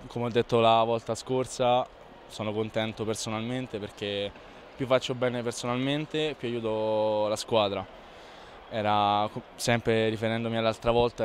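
Low, flat-voiced young man after a match. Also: slight background noise. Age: young adult. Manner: relaxed, repetitive, self-correcting answers. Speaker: volleyball player. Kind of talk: post-match interview. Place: indoor sports hall in front of a sponsor wall. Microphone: reporter's handheld mic held close.

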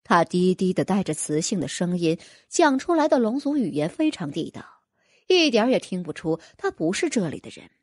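A woman's voice: on the low side, sing-song, normal speed, darkish tone, no room sound, clean studio sound. A woman reading a fantasy novel as an audiobook narrator, in a dramatic, scripted storytelling style.

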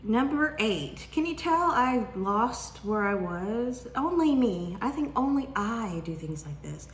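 A woman in her forties with a drawl talks slowly and playfully, stretching her questions and pausing to let them sink in. Her voice sits a little high. The sound comes from a camera across a table in a roomy, echoing kitchen. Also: sing-song, a little hiss or hum. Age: 40s. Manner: chatty, teasing, drawn-out questions with pauses. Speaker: book vlogger. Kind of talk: casual chat to camera. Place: home kitchen, indoors. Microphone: camera at desk distance.

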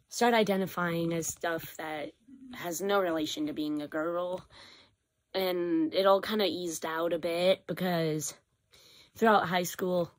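A teenage girl, hoarse from a cough, talking casually and rambling into a webcam in her small bedroom. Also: on the low side. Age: teens. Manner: rambling, self-correcting, animated at times. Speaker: vlogger. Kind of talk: casual confessional vlog. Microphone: built-in webcam or phone mic, close.

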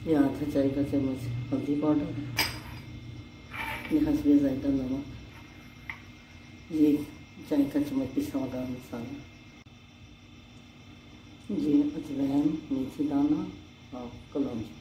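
A middle-aged woman calmly explaining a home recipe step by step, naming each ingredient as she goes. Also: very deep voice, takes it slowly, long pauses. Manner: plain, homely instructional talk. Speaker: home cook. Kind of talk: step-by-step cooking tutorial. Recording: roomy, noisy home recording.

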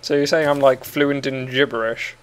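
A man's voice: medium pitch, fairly even intonation, moderate pace, clean tone. A man with an English accent chatting and joking while playing a game, often giggling and rambling. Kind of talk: casual gaming chat.

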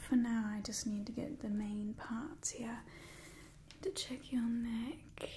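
A young woman performing a whispered ASMR roleplay as an imperious, doting old vampire clan overseer, breathy and theatrical. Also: fairly high pitch, warm tone, a little hiss or hum. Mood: appraising, pleased. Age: young adult.

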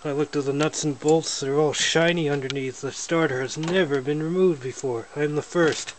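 A man casually showing off his snowmobile in a garage, talking in a relaxed, matter-of-fact way while filming with a handheld camera. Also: a little high, normal speed. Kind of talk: casual walkaround narration. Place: indoors, a garage or shed with windows. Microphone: handheld camera held by the speaker. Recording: noisy handheld camera audio.

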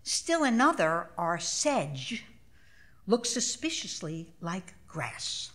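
Woman, 70s, author reading onstage: slow, expressive, wide-ranging intonation, dry wit. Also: fairly low pitch, a little hiss or hum. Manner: measured, expressive, wry delivery. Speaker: author reading own essay. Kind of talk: literary essay reading for a live audience. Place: small theater stage, live event. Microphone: stage microphone.

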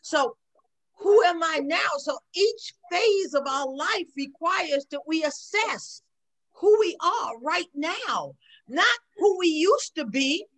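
A woman's voice: very high voice, expressive, slow pace, fairly bright tone, poor audio. A woman in her sixties on a video call, talking in an emphatic, preachy way and often asking "okay?". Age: 60s. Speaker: guest. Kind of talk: casual chat on a video call. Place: home room.

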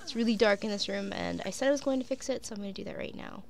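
A woman's voice: mid-range voice, racing, faint music behind. A young woman casually narrating her life-sim game, chatting to her characters, sniffly with a cold. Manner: chatty, talks to her sims, frequent "like" and "um". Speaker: gaming YouTuber. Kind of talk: casual let's play commentary.

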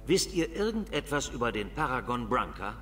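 Old dwarf lord, video game, guarded, stately, formal, medium pitch, slight background noise. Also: male voice, big pitch swings, fairly bright tone. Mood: guarded, stern. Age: older. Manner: formal, measured, stern.

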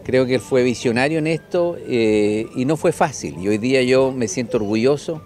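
A man's voice: mid-range voice, normal speed, a crowd in the background. A Chilean man speaking emphatically in an outdoor interview into a handheld mic. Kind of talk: on-camera interview. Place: outdoor fair. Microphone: handheld news mic.

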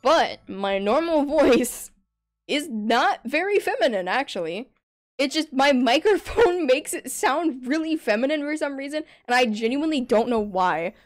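Chatty young streamer, higher-pitched. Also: lively intonation, a little slow, faint music behind. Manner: chatty, rambling, laughs often. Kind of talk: casual gameplay stream chat. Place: bedroom. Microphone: desk microphone.

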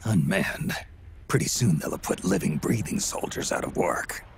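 An actor playing a terse, grizzled veteran soldier spy with a deep gravelly rasp in a video game. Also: male voice, normal speed. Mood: gruff. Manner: terse, clipped replies. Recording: game audio with steady noise.

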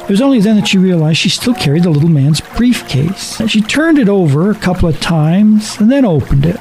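A man's voice: high voice, animated, brisk, a little mellow, soft background music. A man reading a scripted story as a dramatic narrator.

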